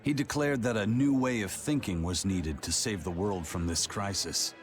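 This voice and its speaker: A man voicing a composed, scholarly adventurer in a video game, measured and formal. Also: fairly low pitch, close to an everyday voice. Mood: matter-of-fact. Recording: music behind the voice.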